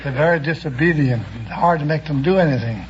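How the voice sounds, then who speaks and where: A man's voice: a little high, fast talker. An older man answering a host's questions as a contestant on a vintage radio quiz show. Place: broadcast studio with audience. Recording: dull noisy vintage radio broadcast.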